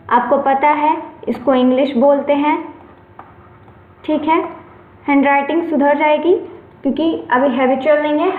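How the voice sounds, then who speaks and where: A woman teacher giving an exam-prep lesson, explaining each point step by step and often checking in with her students. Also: sits high. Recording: echoey, phone-like lo-fi recording.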